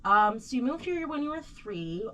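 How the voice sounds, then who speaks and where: A woman's voice: sits high, very animated, moderate pace, fairly bright tone, slight background noise. Woman hosting a casual interview. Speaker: radio show host.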